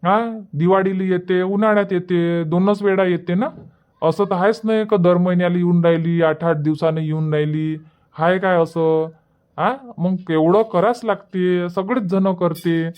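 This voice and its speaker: A man's voice: sits high, fairly even intonation, normal speed, darkish tone, smooth, close to an everyday voice. A man voicing an indignant, defensive village husband in a cartoon comedy, arguing in a Varhadi Marathi accent. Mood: defensive, reproachful. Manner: argumentative, reproachful. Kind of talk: colloquial cartoon comedy dialogue.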